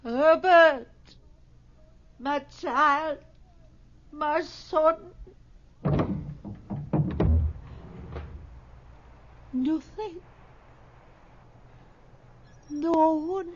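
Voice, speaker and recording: A woman's voice: high voice, very slow. A woman playing a desperate middle-aged mother grieving for her dead son in a radio horror drama, anguished, pleading and intense. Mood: bereft, accusing. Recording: dull, noisy old radio drama recording.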